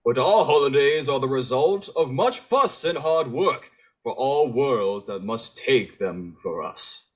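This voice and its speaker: A man playing Santa Claus as a theatrical storybook narrator, speaking in rhyme with a husky voice. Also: medium pitch, expressive, unhurried. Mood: storybook, grave.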